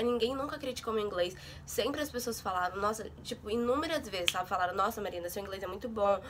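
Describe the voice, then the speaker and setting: A woman's voice: a little high, a bit bright, a little hiss or hum. Chatty Brazilian young woman vlogging. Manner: chatty, fills with "sabe?" and "gente". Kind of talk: casual chat to camera.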